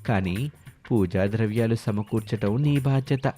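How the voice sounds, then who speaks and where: A man's voice: on the low side, a little slow, mellow, soft background music, lightly acted. Man acting a solemn, sly fake village swami. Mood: instructing, promising. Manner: solemn, pronouncing, coaxing with promises and warnings. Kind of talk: animated moral story dialogue.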